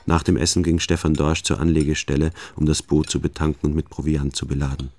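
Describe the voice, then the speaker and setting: An actor playing a sober traveller who narrates a radio play in the first person, measured and deadpan. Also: male voice, very deep voice. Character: sober male narrator, a traveller recalling an odd encounter.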